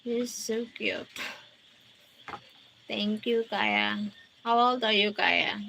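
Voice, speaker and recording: Slow, gravelly-voiced woman in her forties. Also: mid-range voice, steady tone, sharp tone, a little hiss or hum, rough recording. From Philippines. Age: 40s. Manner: reads and answers viewer comments slowly, greeting each by name. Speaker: livestream host. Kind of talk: casual livestream chat with viewers. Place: countryside outdoors at night.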